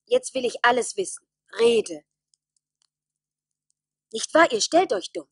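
A woman's voice: a little high, sing-song, slow pace, slightly rough, dry recording, studio quality, heavily acted. An actress playing a doubtful, demanding young village woman in a radio play, dramatic and insistent. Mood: suspicious, urgent. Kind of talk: German radio play acting.

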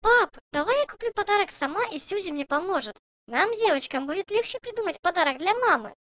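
Cartoon schoolgirl piglet: very high, swoopy, insistent, chirpy, recorded dry. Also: fairly quick, dry recording. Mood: doubtful, bossy. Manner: chirpy, expressive, childlike questioning. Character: cheerful, insistent little girl pig, young schoolchild. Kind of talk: children's cartoon dubbing.

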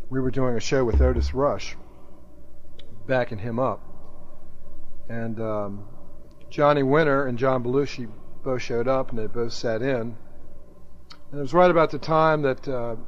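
A man speaking casually as a musician guest in a radio interview. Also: mid-range voice. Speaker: interview guest, band drummer. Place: radio station studio. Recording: dull, noisy 1982 AM radio aircheck.

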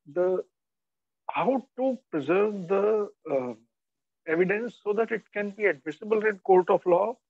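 A man with a bad cough and cold, giving a halting webinar introduction with many filler pauses. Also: high voice, dry recording. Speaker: cyber forensics instructor. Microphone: video call. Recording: dull lo-fi video call audio.

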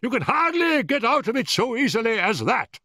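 A man's voice: medium pitch, animated, a little slow, dry recording, clean studio sound, voice pushed up. A man voicing a malicious, irascible elderly professor in an audiobook story, with a pompous, cutting manner. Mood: screaming fury. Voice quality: screaming at peaks.

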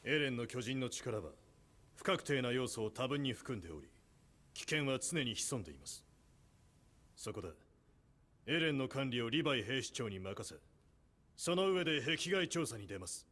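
An actor playing a composed male commander who speaks in a measured, formal, authoritative way. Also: medium pitch, expressive, a bit bright, natural voice.

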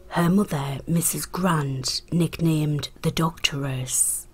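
A woman with a croaky, breathy, half-whispered voice, reading scripted history narration aloud in a measured manner. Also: deep voice. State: croaky voice after a hospital stay.

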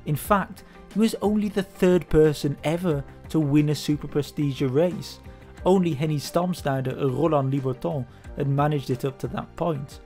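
A man narrating a scripted sports history documentary in a steady, measured way. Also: a little high. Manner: steady, measured storytelling delivery. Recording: voice-over with faint background music.

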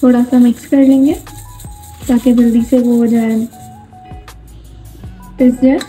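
A woman hosting a cooking channel, calmly talking through a spice recipe step by step. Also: on the high side, a little slow, husky, faint music behind, low-quality recording. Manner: calm, instructive, explains each step.

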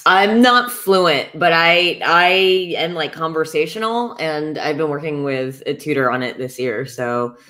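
Low-voiced American woman, reflective. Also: expressive, a little slow, few pauses, a bit bright. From United States. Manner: reflective, explains at length with "you know" and "um". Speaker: voice actor panelist. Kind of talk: thoughtful casual chat. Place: home room with a gaming chair. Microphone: webcam on a video call.

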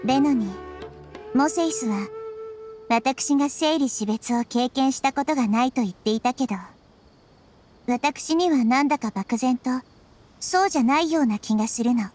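Child-like deity played by a woman, anime game: high-pitched, gentle, thoughtful, measured delivery, music behind. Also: natural voice. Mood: reflective. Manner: measured, soft-spoken, reflective. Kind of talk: Japanese anime game voice acting.

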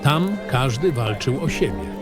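Male narrator for fantasy audio drama: world-weary baron recounting dark memories, expressive range, clearly scored with music. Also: medium pitch, measured pace. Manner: grave, literary storytelling.

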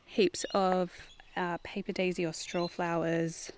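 A woman chatting casually as she walks through her garden, with fillers and some soft asides. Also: on the low side, little pitch movement, slow pace, slight background noise. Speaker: vlogger giving a garden tour. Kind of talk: casual walking garden tour. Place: outdoors in a home garden.

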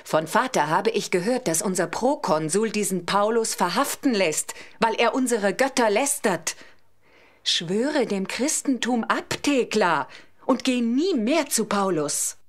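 A worried mother, played by an actress in an audio drama. She has a mid-pitched voice and speaks with reproach and pleading. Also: lively intonation, measured pace, natural voice. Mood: worried, reproachful. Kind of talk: German audio drama acting.

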